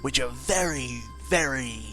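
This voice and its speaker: A man's voice: mid-range voice, a little slow, bright, forceful tone, faint music behind, poor audio. A man giving casual, rambling game commentary, informal and sweary, often correcting himself as he goes. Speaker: gaming video commentator.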